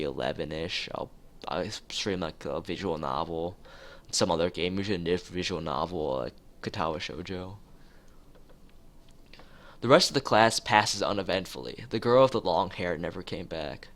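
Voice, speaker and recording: A man's voice: sits low, measured pace, a bit bright. A man streaming, reading a visual novel aloud and often stumbling over phrases and repeating them. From United States. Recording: music playing behind the voice.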